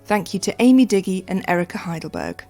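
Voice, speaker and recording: A woman hosting a podcast, reading a scripted closing in a measured way. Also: medium pitch, huge pitch swings, darkish tone, faint music behind. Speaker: podcast host. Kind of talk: scripted narration.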